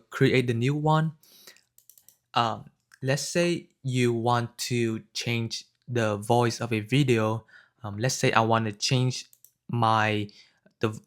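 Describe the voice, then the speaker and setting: Slow-paced man giving a tutorial. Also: medium pitch. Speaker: tutorial host. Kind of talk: unscripted software tutorial narration.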